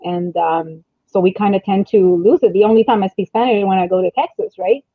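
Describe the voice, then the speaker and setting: A middle-aged woman chatting casually in an animated, storytelling way, with thin phone-like audio. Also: medium pitch, a little fast, no room sound. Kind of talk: casual chat.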